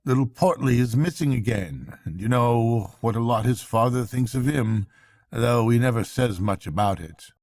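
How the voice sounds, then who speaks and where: A man's voice: mid-range voice, moderate pace, a little mellow, close to an everyday voice. A man voicing a worried, kindly water rat who speaks in an earnest, confiding way, as in a bedtime storybook. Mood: troubled. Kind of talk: bedtime storybook character reading.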